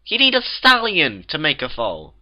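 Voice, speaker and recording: A man's voice: on the high side, huge pitch swings, normal speed, pushed and bright, lo-fi sound, voice pushed up, exaggerated voice. A man voicing an exasperated, unhinged young female unicorn in a cartoon fan story.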